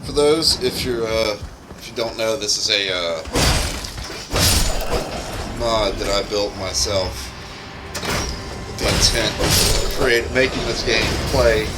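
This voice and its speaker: A man's voice: on the low side, heavy background noise. A man giving casual, profane gameplay commentary as a gamer. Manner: profane, informal. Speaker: gamer streamer and modder. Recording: music behind the voice. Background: loud music.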